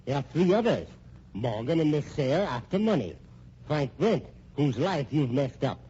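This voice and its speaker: A man's voice: fairly high pitch, sing-song, moderate pace, mellow. A man playing a vengeful, gloating schemer in an old-time radio thriller, theatrical, menacing and taunting. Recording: dull, noisy old radio recording.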